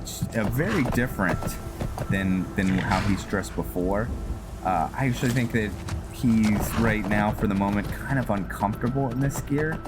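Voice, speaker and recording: A man's voice: fairly low pitch, moderate pace, over loud music. A man giving casual, rambling game commentary, thinking aloud and often searching for words as he narrates a roleplay playthrough. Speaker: gaming video host narrating a roleplay playthrough.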